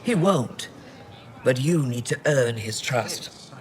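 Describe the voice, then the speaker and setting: An actor playing a composed, guarded alien governor in a video game, speaking in a measured, formal and curt way. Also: male voice, medium pitch, animated. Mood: guarded, softer. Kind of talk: video game dialogue acting. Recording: game dialogue over noisy ambience.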